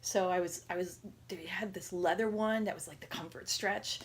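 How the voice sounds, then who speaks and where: A woman around forty with a raspy voice, talking casually and haltingly in a personal health vlog. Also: fairly low pitch, fairly even intonation, a little hiss or hum. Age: 30s or 40s. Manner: halting, rambling, conversational. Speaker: patient video diarist.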